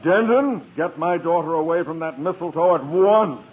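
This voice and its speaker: A man's voice: fairly high pitch, animated, normal speed, natural voice. An actor playing a grouchy, pompous middle-aged school principal and family man in a vintage radio sitcom, speaking in a stiff, formal manner. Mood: pompous, irritated. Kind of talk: 1950s radio sitcom acting. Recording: tinny, very noisy old radio recording.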